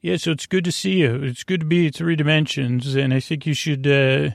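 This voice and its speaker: A man hosting a sleep podcast with a halting, meandering, mumbly delivery, rambling whimsically through a bedtime monologue. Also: on the high side, steady tone, vocal fry, no echo, clean studio sound. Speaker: podcast host.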